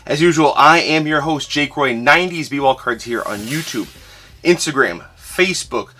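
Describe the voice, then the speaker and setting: A man's voice: a little high, moderate pace, sharp tone, a little hiss or hum. A man hosting his own collecting channel, talking casually through a recap while his voice is tired and fading. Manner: enthusiastic, chatty with frequent "uh" fillers. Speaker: host of a sports card collecting channel.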